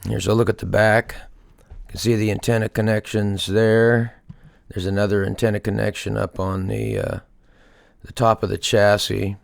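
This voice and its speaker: A man's voice: on the low side, level tone, moderate pace, creaky, no room sound, clean studio sound. A man giving a casual, unscripted show-and-tell as a hobbyist presenter, hesitantly thinking aloud as he goes. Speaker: hobbyist restorer presenting a project.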